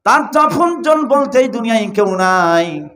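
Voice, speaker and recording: Earnest male disciple acted out by a preacher, reverent pleading, bright high voice, sermon storytelling. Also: expressive, a little slow, exaggerated voice. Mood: urgent. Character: earnest, urgent male disciple of a holy leader.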